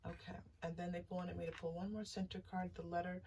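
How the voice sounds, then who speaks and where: A woman hosting a casual tarot reading, speaking to listeners directly in a soothing, reassuring way and haltingly thinking aloud. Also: on the low side, little pitch movement, darkish tone. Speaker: tarot reader and channel host. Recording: distant mic, faint background noise.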